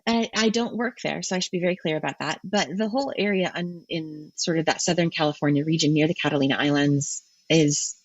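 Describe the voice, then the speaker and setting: A woman's voice: deep voice, brisk, hardly any pauses, no echo, highs cut off. A woman, a marine ecologist, answering interview questions in a thoughtful way with frequent ums and restarts.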